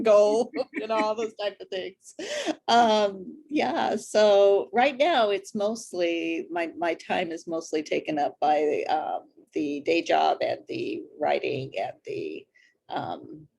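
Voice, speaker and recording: An American woman from Idaho talking casually as an author in an interview, in a halting, rambling way. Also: medium pitch, animated, gravelly, darkish tone. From Idaho, United States.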